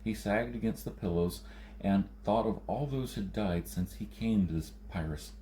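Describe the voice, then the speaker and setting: A man's voice: fairly low pitch, normal speed, mellow, slight background noise, poor audio. A man narrating a science fiction novel as an audiobook reader.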